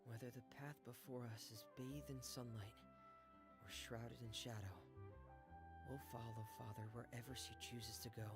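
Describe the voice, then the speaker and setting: A man playing a soft-spoken gravelly young man. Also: medium pitch, flat delivery, moderate pace, over loud music, close to an everyday voice. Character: quiet, earnest young man of a family. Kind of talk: voiced game cutscene dialogue.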